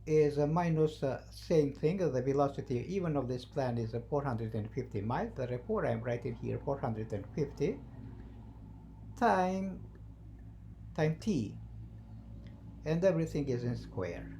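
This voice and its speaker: A non-native English speaker teaching math, thinking aloud haltingly and repeating phrases while writing out the steps. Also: mid-range voice, animated, mellow. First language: not English. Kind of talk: math lesson, working through a problem at the board. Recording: noisy, with a constant hum.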